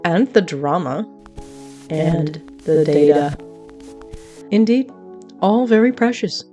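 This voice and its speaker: Audio drama cosmic narrator: slightly low, soft-edged, arch and theatrical, dryly grandiose. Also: female voice, animated, takes it slowly, faint music behind. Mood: theatrical, solemn.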